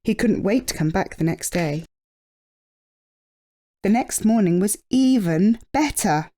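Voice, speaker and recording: Unhurried, mellow woman reading a storybook. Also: mid-range voice, animated. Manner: drawn-out emphasis, dramatic pauses. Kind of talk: expressive children's storybook reading.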